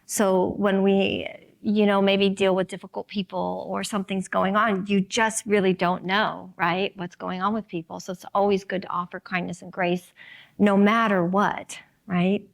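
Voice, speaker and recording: Mid-pitched woman on a panel. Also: flat delivery, normal speed, vocal fry. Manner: affirming, with murmured backchannels.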